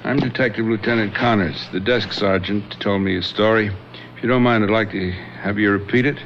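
An actor playing a skeptical police detective lieutenant questioning witnesses in a dry manner in a radio drama. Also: male voice, on the low side, steady tone, brisk, close to an everyday voice. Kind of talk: 1970s radio drama acting. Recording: dull lo-fi radio recording with hiss.

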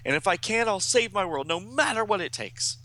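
Anguished teenage comic book hero, played by a man: high, bright and forceful, melodramatic, halting, urgent. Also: lively intonation. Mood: pleading, resolute. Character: anguished teenage male superheroes crying out urgently. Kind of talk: dramatic comic book read-aloud.

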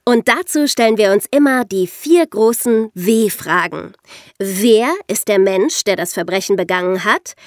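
A woman's voice: mid-range voice, unhurried, dry recording, studio quality. A woman co-hosting a podcast, explaining things conversationally and addressing the listeners directly. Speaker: podcast co-host.

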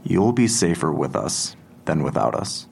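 Male investigator, audio drama: deep and gravelly, blunt, terse, skeptical, unshowy. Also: sing-song, a little mellow, slight background noise, full character voice. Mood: measured, steady. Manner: terse, measured, matter-of-fact questioning. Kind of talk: naturalistic audio drama acting.

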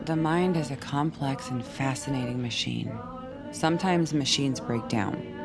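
An actress playing a calm, controlling female psychiatrist, measured and clinical with a cool menace, in an audio drama. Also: very deep voice, over music. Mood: soothing, clinical.

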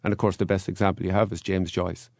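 An Irish man, a guest in an interview, answering in a relaxed, anecdotal way. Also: low voice, quick pace, creaky, dry recording, clean studio sound. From Ireland.

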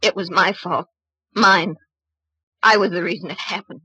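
A doomed, guilt-stricken young woman in a vintage radio play. Her voice is on the low side and very husky, with a hard, tense edge. She speaks haltingly and with intensity. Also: pushed and bright, dry recording, heavily acted. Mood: grim, resolute. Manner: halting, intense, self-reproaching. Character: guilt-ridden, doomed young woman blaming herself for a curse. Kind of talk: old-time radio drama acting.

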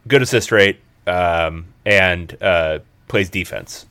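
A man with a fairly low voice chats casually about sports as a podcast co-host. He talks analytically, in a conversational way. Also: takes it slowly, sharp tone, clear, resonant tone.

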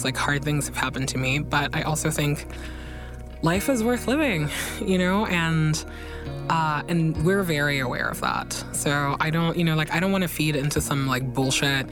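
Woman as interview guest, candid and informal, leaning on repetition for emphasis, music playing underneath. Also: sits low. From Guinea and Belgium. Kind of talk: candid casual conversation.

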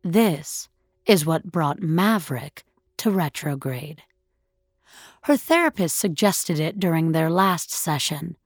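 A woman playing a wry, reflective narrator in an audio fiction drama, speaking in a measured, understated way. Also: sits low, expressive, no room sound, clean studio sound, full character voice. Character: wry, reflective female narrator of a sci-fi breakup story.

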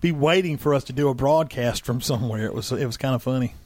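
A man's voice: medium pitch, quick pace, a little mellow. A man co-hosting a casual podcast chat with friends, rambling and restarting his sentences as he plans aloud. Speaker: podcast co-host.

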